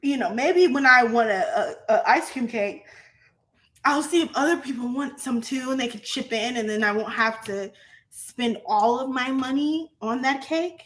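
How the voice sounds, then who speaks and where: A young adult woman vlogging in a casual, profane monologue to her viewers, animated and often laughing. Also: on the high side, normal speed.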